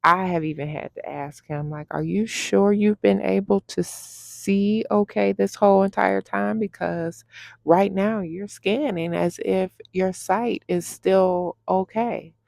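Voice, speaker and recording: A woman's voice: mid-range voice, steady tone, slow pace, gravelly, darkish tone, dry recording, studio quality. A woman vlogger sharing a personal testimony to camera, speaking in a heartfelt, encouraging, faith-filled way.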